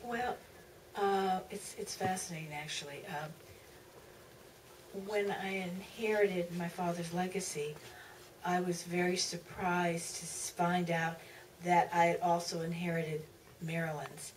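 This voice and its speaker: A woman's voice: lowish voice, steady tone. A woman giving reflective answers as a guest at a Q&A, searching for her words and restarting phrases. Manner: halting, searching for words, repeats starts of phrases. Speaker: author, panel guest. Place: theater stage at a public Q&A. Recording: distant mic, faint room noise.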